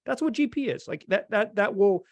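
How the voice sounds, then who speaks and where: A man's voice: sits high, lively intonation, brisk, no echo. A man hosting a sports podcast, talking informally and repeating phrases for emphasis, close on the microphone. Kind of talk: casual sports talk and analysis.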